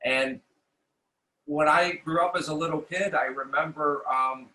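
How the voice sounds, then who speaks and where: American male chef, bright forceful voice, casual interview guest who doubles back on his words, roomy echo. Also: a little high, steady tone, a little slow, slight background noise. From New York City, United States.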